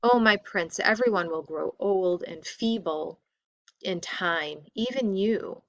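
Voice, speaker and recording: A woman reading the part of a respectful chariot driver in a storybook, gravely and emphatically explaining hard truths. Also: medium pitch, slow, no room sound, lo-fi sound.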